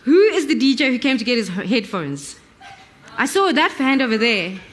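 A woman informally hosting an audience quiz at a live event, excitable, giggly and full of exclamations. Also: on the high side, normal speed.

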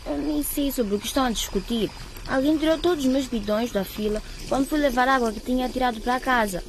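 A woman's voice: high-pitched, a little fast, a little hiss or hum, rough recording. A young woman playing a wounded but defiant schoolgirl in a radio drama. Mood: annoyed. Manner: emotional, indignant. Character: wounded, defiant teenage schoolgirl standing up for herself.

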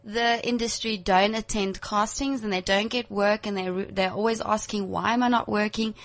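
A woman's voice: medium pitch, steady tone, moderate pace. A young woman with an Afrikaans South African accent, a model giving candid career advice in a casual radio interview. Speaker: model guest.

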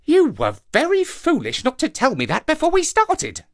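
A man voicing a clever, excitable monkey in an animal folktale, exclaiming theatrically with indignant, crafty airs. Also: very high-pitched, normal speed, pitched above the performer's own voice, full character voice. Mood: reproachful.

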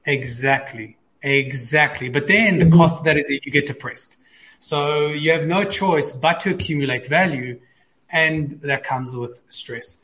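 A man's voice: fairly high pitch, measured pace, fairly bright tone, rough recording. A man speaking as an expert psychologist guest, explaining conversationally and repeating key phrases for emphasis.

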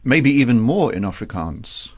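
A man hosting a radio interview, conversational and quick with short affirmations. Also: mid-range voice, sing-song, breathy edge, no room sound, studio quality. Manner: conversational, many brief affirmations. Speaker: radio host.

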